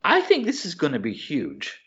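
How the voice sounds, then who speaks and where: A man co-hosting a podcast, chatting casually and enthusiastically, halting and searching for words as he rambles. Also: a little high, huge pitch swings, a bit bright. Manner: informal, enthusiastic. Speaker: podcast co-host. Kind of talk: casual chat about films and TV shows. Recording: slightly dull, lo-fi podcast audio.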